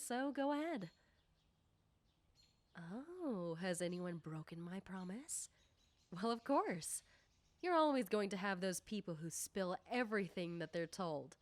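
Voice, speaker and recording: A woman playing a confident, nosy popular high school girl in an ASMR roleplay, talking coyly and teasingly to the listener. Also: dry recording. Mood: friendly, curious.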